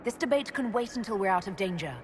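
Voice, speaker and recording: Measured, commanding female military leader played by an actress. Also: mid-range voice, expressive, noisy background, natural voice. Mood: calm, firm. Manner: measured, authoritative, formal. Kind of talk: dramatic video game cutscene acting.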